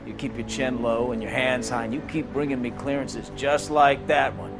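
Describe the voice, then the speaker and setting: A man's voice: medium pitch, flat delivery, moderate pace, over music, natural voice. A man playing a crude, pompous middle-aged police captain who speechifies in a crime game. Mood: smug, approving. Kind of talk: dramatic game acting.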